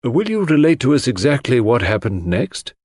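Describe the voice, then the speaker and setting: A man voicing a brisk, formal coroner who questions witnesses in a mystery audiobook. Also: mid-range voice, big pitch swings, darkish tone, no room sound, clean studio sound, pitched above the performer's own voice. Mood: probing, formal.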